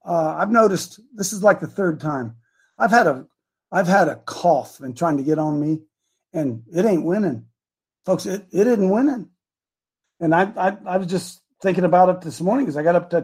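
An American man with a raspy voice hosting a religious talk show, chatting casually in a folksy, animated way and telling rambling personal stories. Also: sits high, normal speed. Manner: folksy, animated, repeats phrases for emphasis.

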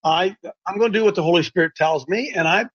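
A man's voice: high-pitched, fast talker, a bit bright, husky, lo-fi sound. A man hosting a religious talk show, preaching emphatically and repeating his points. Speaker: host and street preacher.

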